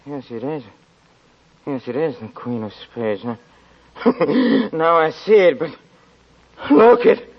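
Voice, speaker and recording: A man's voice: fairly high pitch, sing-song, heavily acted. A man playing an obsessed, murderous gambler lieutenant going mad, stammering and repeating himself, in 1940s radio drama style. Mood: disbelieving, unhinged. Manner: halting, stammering, repetitive, raving. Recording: dull 1940s radio recording, audience noise.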